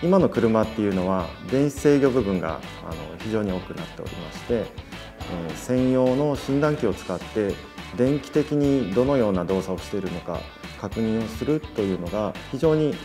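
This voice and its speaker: A man's voice: on the low side, expressive, normal speed, over music. A man speaking politely in an interview, explaining his work as a car dealership employee.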